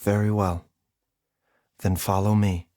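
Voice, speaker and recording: Measured, courteous doctor, dry close sound. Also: male voice, on the low side, level tone, dark tone, dry recording. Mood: solemn. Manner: measured, polite, formal. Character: calm, courteous, scholarly male doctor. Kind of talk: video game voice acting.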